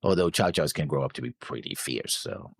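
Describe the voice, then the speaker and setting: A man's voice: rumbling low, animated, fairly quick, creaky, breathy edge, dry recording, clean studio sound. A man co-hosting a reaction show in casual chat, rambling and thinking aloud as he talks. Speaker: co-host of an anime reaction show.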